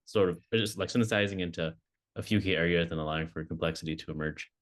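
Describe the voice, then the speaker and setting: Fast-talking low-voiced young man. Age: young adult. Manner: quick, hedging, many fillers and restarts. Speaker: interviewer, oncology fellow. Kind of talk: recorded video interview.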